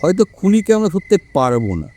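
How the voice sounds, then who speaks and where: An actor playing an assured, dryly teasing detective in an audio story. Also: male voice, high voice, big pitch swings, brisk, dark tone.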